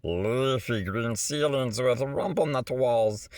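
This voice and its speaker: A man's voice: mid-range voice, slow pace, darkish tone, no echo, studio quality. A man reading a rhyming children's picture book aloud in a theatrical, rhythmic way, stretching words for effect. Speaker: storybook reader.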